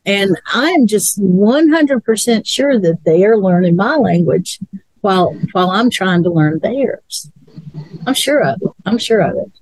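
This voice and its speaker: Woman thinking out loud, slow, repeats words, dry close sound. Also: medium pitch, lively intonation, no room sound, rough recording. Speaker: co-host. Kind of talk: casual chat.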